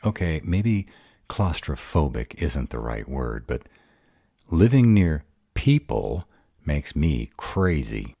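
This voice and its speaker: A man's voice: very deep voice, sing-song, slow, warm tone, husky, no echo, clean studio sound, exaggerated voice. A man playing a grumpy, insecure were-dragon in a romantic roleplay audio drama, hesitant and defensive as he courts his mate. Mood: shy, relieved. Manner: hesitant, defensive, self-doubting.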